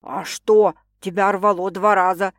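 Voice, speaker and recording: A woman reader puts on a serious, worried man from a romance audiobook. The voice is lowered and heavy, with slow, halting phrases. The sound is dry and close. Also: fairly even intonation, no echo, natural voice. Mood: serious, insistent. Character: gruff, anxious, shocked man in love, expectant father.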